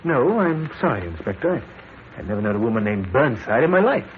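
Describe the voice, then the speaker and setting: An actor playing a smooth, evasive suspect, overly cooperative and slightly stammering, in a tinny old radio drama recording. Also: male voice, medium pitch, big pitch swings, brisk, slight background noise, natural voice. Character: smooth evasive male suspect, nervous under questioning.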